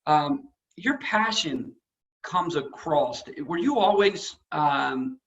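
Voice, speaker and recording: An American man with a fairly high voice hosts a casual interview in an effusive, admiring way. The audio is somewhat dull and lo-fi. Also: lively intonation, slow pace, a bit bright. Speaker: interview host.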